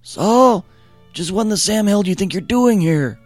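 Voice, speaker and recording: The ghost of an old prophet, summoned and furious, scolds in a high, shrill voice that leaps up and down. A man acts him out in a storybook retelling. The tone stays exasperated and sharp. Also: animated, normal speed, no room sound, soft background music. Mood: scolding.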